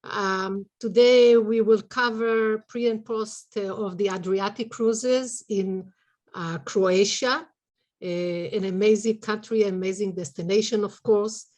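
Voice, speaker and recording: A woman with an Israeli accent hosts a business webinar over a video call. She speaks haltingly, filling pauses and restarting phrases as she introduces her colleagues. Her voice sits at a middle pitch. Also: steady tone, darkish tone. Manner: halting, many fillers and restarts. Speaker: webinar host, sales executive.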